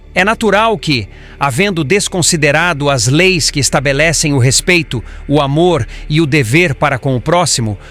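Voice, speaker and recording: Male reader as learned spirit instructor, higher male pitch, grave, measured, formal storybook reading, soft music behind. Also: close to an everyday voice. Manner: solemn, formal, measured delivery. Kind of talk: scripted audiobook narration.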